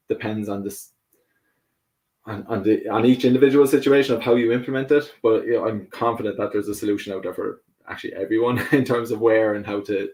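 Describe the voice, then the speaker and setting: Irish man, low-pitched, hesitant, lots of fillers, relaxed interview guest. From Ireland. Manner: hesitant, many fillers, laughs easily. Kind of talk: casual interview answers.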